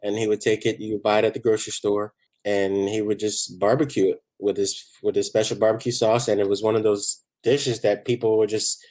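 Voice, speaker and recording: A man from Barbados, chatting casually as a chef guest in a relaxed, rambling way. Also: lowish voice, fairly quick. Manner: relaxed, rambling, many "you know" fillers. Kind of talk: casual interview chat.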